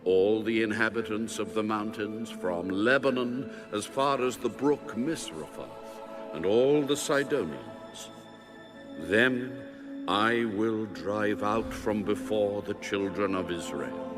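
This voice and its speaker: An actor playing God in a dramatic audio Bible, speaking in a solemn, stately, commanding manner. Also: male voice, on the low side, slow pace. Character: God, male, solemn, commanding, ancient authority. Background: music.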